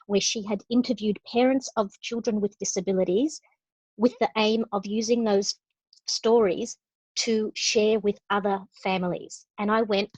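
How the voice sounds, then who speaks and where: A woman's voice: medium pitch, fairly even intonation, moderate pace, a little mellow, dry recording, rough recording. Woman, parent organization lead, eager conversational telling, filler words, dry sound. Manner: enthusiastic, conversational, many fillers. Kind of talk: webinar talk. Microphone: video call.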